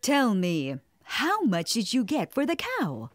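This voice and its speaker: A woman playing a stern, scolding mother in an animated children's story, exclamatory and quick to anger. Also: a little high, no room sound, clean studio sound, heavily acted. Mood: demanding.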